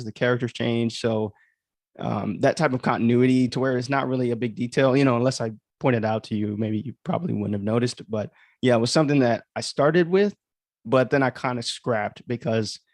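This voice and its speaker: A man hosts a casual livestream about his own writing. His voice is mid-pitched with plenty of vocal fry, recorded dry. He thinks out loud in a rambling, self-deprecating way. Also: no echo. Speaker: author and livestream host.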